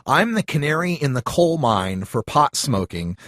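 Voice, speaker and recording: A man's voice: on the high side, sing-song, moderate pace, a bit bright, dry recording. A man hosts a live talk show in an easygoing, conversational way. His voice is dry and close, with no room around it. He reads out listener questions and phone numbers. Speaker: radio talk show host. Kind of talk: live call-in show hosting.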